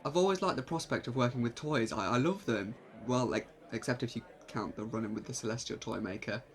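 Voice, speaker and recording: Quirky eccentric alien hero, male actor, mid-pitched, breezy, hesitant and evasive, fan audio drama. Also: a little fast, close to an everyday voice. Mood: eager, bluffing. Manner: rambling, hesitant, cheerfully evasive.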